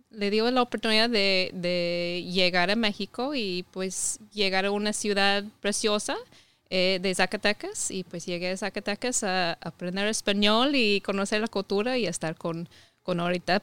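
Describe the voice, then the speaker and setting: A woman in her thirties from Ohio, a native English speaker, talking casually as a podcast guest and pausing often with fillers and restarts. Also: medium pitch, a bit bright, clean tone, no room sound. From United States (Ohio). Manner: hesitant, many fillers and restarts. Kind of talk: casual interview conversation.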